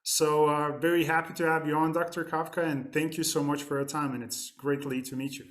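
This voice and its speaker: A man's voice: a little high, flat delivery, a little fast, a bit bright. A man hosting a podcast, introducing his guest eagerly and asking rambling questions full of fillers. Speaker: podcast host. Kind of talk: casual interview hosting.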